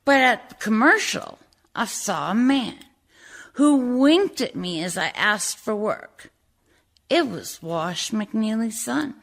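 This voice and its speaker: A woman playing a sly, destitute woman telling her story in a dramatic radio verse monologue, slowly and deliberately. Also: a little high, animated, gravelly, full character voice. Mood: smug. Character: sly, destitute woman recounting how she claimed a mansion.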